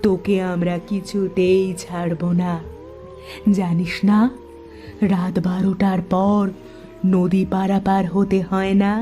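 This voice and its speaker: A woman voicing a menacing hanging corpse ghost in a horror cartoon, with slow, drawn-out, threatening calls. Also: medium pitch, level tone, mellow, soft background music, lightly acted.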